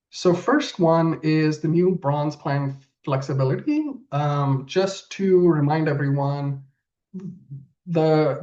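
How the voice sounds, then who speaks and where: A person with a sore throat presenting pricing points in a webinar over a video call, speaking in halting, list-like phrases. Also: mid-range voice, a little mellow, lo-fi sound.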